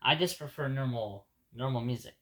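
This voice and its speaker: A man's voice: mid-range voice, moderate pace, sharp tone. A man from Ecuador, a native Spanish speaker, gives a casual spoken reflection to his viewers. He rambles, restarts and repeats words, and keeps addressing them as "guys". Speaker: vlogger.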